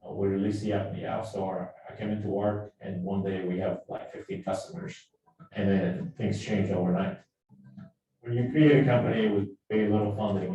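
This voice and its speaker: Low, very echoey man from Lebanon. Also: warm tone. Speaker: company founder and CEO. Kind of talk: casual storytelling in a promo interview. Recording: very echoey, distant playback, faint music.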